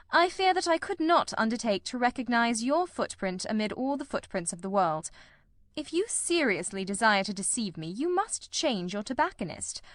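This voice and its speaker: A woman's voice: medium pitch, a bit bright, dry recording, clean studio sound. A woman voicing a keen, composed male detective in an audiobook, with a cold, incisive, precise and wryly ironical delivery. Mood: dry, deductive. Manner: ironical, precise, politely teasing. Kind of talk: audiobook character dialogue.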